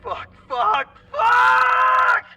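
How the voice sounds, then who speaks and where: High, strained, injured space scavenger. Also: expressive, extremely slow, distant mic, full character voice. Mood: screaming in pain. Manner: strained, gasping, crying out. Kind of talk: video game voice acting. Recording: voice under loud game music.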